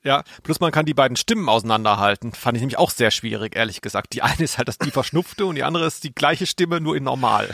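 A man's voice: medium pitch, lively intonation, fast talker, creaky, hardly any pauses, fairly bright tone, no echo. A man co-hosting a podcast in casual, humorous chat, rambling through conversational asides. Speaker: podcast co-host.

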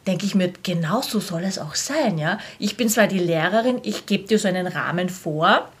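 A woman's voice: on the low side, animated, normal speed. A woman with an Austrian accent in a casual podcast conversation, speaking candidly as a teacher, with blunt slang and acted-out quotes. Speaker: language teacher.

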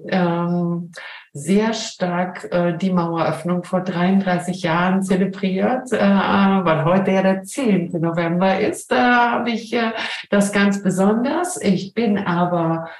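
Woman, middle-aged, from Germany, slow, reflective, hesitant, echoey room. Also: fairly low pitch, fairly even intonation. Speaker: professor, podcast guest. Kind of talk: casual interview talk.

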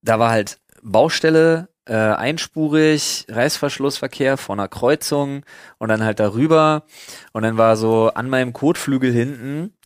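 A man's voice: medium pitch, unhurried, no echo, studio quality. A man chatting casually as a podcast host, telling stories in a slangy, sweary, mock-exasperated way. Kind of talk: casual chat, storytelling.

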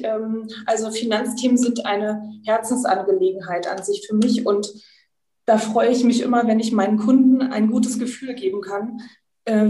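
A woman working as a financial advisor, speaking warmly with many fillers. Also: fairly high pitch. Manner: warm-hearted, many fillers. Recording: echoey room.

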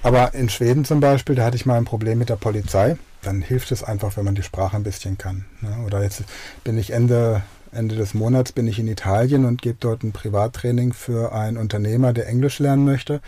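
A middle-aged man from Germany talks eagerly about his work, sliding easily into anecdotes. His voice is dark and mellow at a medium pitch. He sounds relaxed and at ease. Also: fairly quick. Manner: enthusiastic, anecdotal. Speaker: podcast host and learning coach. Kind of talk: podcast intro and radio interview.

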